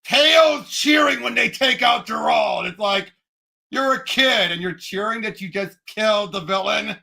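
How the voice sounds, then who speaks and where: A man with a high, creaky voice chats casually as co-host of a film show. He sounds bright and sharp, and states his opinions with emphasis. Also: unhurried. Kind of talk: casual chat.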